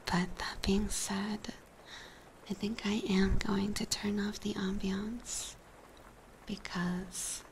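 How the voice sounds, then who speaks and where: A woman whispering softly and breathily into a close binaural microphone, chatting hesitantly on an ASMR livestream. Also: medium pitch, flat delivery, slow, a little hiss or hum, rough recording. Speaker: ASMR streamer, virtual YouTuber.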